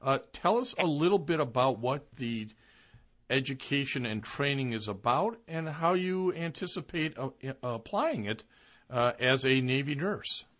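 A man hosting an interview, asking questions in a halting way, with many uhs and restarts. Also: medium pitch, lively intonation, fairly bright tone. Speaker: interviewer host. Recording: dull lo-fi audio.

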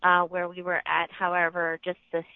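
A woman whose first language is Japanese, a Navy nurse, speaking in a lowish voice and telling her story at an easy, conversational pace with plenty of ums. She comes through a narrow, phone-like line. The sound is dry, with no room around it. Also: moderate pace, smooth, dry recording. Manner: conversational, many ums, recounts calmly. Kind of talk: recounting experiences in an interview.

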